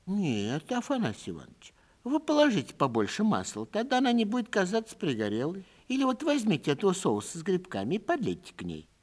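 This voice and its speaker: Man voicing a high, coaxing old country lady. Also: huge pitch swings, brisk, darkish tone, heavily acted. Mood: solicitous, coaxing. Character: caring elderly landowner's wife, homely, hospitable old woman.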